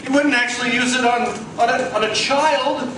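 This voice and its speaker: A man's voice: extremely high pitch, steady tone, moderate pace, background noise. An actor playing an earnest American professor, a frightened father, in melodramatic old-time radio style. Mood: pleading, alarmed. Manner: melodramatic, stammering. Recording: echoey live coffee house, distant mic.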